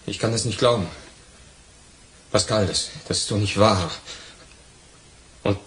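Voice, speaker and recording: An actor playing a trusting, earnest fugitive thief in a crime radio drama, speaking in a halting manner. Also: male voice, fairly low pitch, fairly bright tone, a little hiss or hum. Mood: disbelieving. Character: trusting male fugitive thief, betrayed by his friend.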